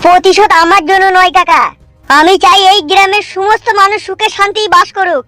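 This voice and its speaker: A woman's voice: very high-pitched, steady tone, brisk, sharp tone, no echo. A woman voicing a cocky, emphatic young village man in a cartoon. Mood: indignant, defiant. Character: brash, vengeful young village man, self-styled hero. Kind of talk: cartoon melodrama. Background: music.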